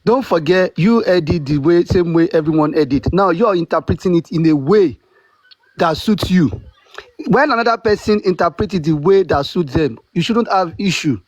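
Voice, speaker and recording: Dull-sounding man calling for calm. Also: sits high, no echo. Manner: calls for calm, measured, repeats "hold on". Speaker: participant in an online audio discussion. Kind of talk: casual debate.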